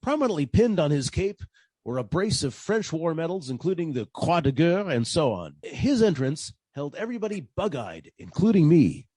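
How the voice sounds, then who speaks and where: A man's voice: fairly high pitch, sing-song, moderate pace, mellow, husky, no echo. A man playing a wry, wisecracking 1940s private detective, narrating his case in old-time radio style. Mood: wry narration. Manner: dry, wisecracking.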